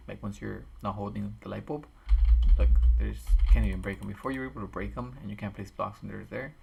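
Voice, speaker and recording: A man with a raspy, tired voice chatting casually and rambling as he builds a game map on a stream, talking into a desk microphone. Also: on the low side, highs cut off, a little hiss or hum. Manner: rambling, thinks aloud with restarts. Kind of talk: casual commentary while building.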